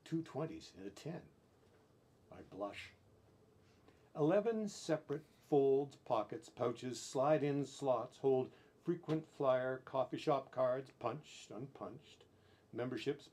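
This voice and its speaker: A man performing spoken-word poetry as a poet, with deliberate, theatrical delivery in short phrases broken by pauses, on a live-stream recording. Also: mid-range voice, a little mellow, slight background noise. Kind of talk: dramatic spoken-word poetry reading, with brief chatty asides.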